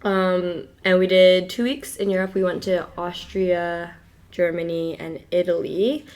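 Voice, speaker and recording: A woman's voice: on the low side, flat delivery, measured pace, a little mellow. Chatty young woman laughing often. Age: young adult. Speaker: podcast guest. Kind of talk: casual chat.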